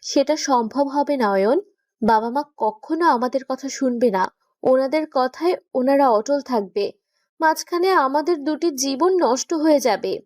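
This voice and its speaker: A woman's voice: sits high, unhurried, no room sound, studio quality. A woman playing an anxious, affectionately bossy young woman in love in a romantic audio story, pleading and insistent. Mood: tearful, pleading.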